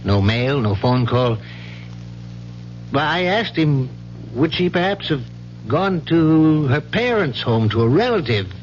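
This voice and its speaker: An actor playing a proper, measured university professor in a 1970s radio mystery, speaking precisely and with care. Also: male voice, fairly high pitch, sing-song. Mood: matter-of-fact. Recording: muffled, noisy 1970s radio recording with hum.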